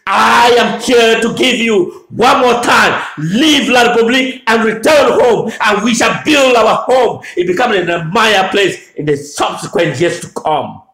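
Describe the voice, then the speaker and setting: A man from Anglophone Cameroon giving a fiery political address, shouting and repeating his demands in a declamatory way. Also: sits high, measured pace, few pauses. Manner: shouting, repetitive declamatory oratory. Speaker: political activist making a public address. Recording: echoey room.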